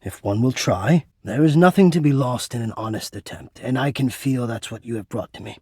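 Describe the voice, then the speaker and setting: A man's voice: mid-range voice, a little fast, darkish tone, slightly rough, no room sound, clean studio sound. A man voicing a proud, wounded, dramatic male household climate spirit in a video game, with formal, florid, old-fashioned diction. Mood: guarded, wistful. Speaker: voice actor. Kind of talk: video game character acting.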